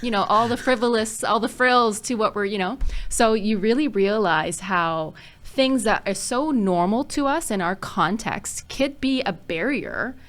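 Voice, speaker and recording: Animated laughing woman from Chile. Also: mid-range voice, unhurried, hardly any pauses. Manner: animated, laughs often, asks rhetorical questions. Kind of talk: casual podcast conversation.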